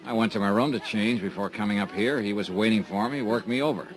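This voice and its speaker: A man's voice: on the low side, fast, crowd noise, natural voice. A man playing a wry, tough radio detective. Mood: flirting. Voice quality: dry wisecracking. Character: wry tough male private insurance investigator. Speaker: insurance investigator. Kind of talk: 1950s radio drama acting. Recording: old radio recording with music.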